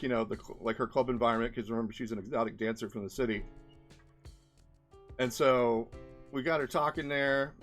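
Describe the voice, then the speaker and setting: A man giving casual commentary as an indie game developer, rambling and thinking aloud as he goes. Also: mid-range voice. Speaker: indie game developer presenting his experiments. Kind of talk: casual screen-share commentary.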